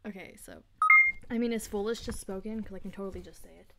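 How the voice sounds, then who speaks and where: Female voice actor, casual rehearsal chat, medium pitch. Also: fast, gravelly, heavy background noise. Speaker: amateur voice actor.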